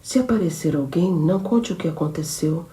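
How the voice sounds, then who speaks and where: A woman reader voicing a wounded young man who speaks in a low, urgent murmur. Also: fairly quick, warm tone, far from the mic, close to an everyday voice. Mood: pained urgent.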